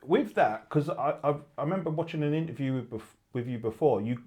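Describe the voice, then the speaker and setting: Chuckling host, stumbling questions. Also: male voice, mid-range voice, expressive, brisk, darkish tone. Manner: laughs a lot, stumbles and restarts questions. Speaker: interview host. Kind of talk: casual interview. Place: studio.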